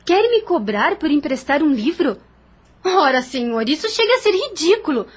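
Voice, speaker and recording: An actress in an audio novel playing a proud, frank but kindly young widow on a colonial farm, speaking formally and directly. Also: female voice, high-pitched, big pitch swings, moderate pace. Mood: indignant.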